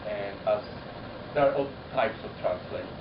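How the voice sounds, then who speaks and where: Soft-spoken man with a polish first language. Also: lowish voice. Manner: thoughtful, halting, circling back to rephrase. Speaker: poet-translator. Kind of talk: panel discussion answer. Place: university common room. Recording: echoey room, distant mic, muffled, noisy.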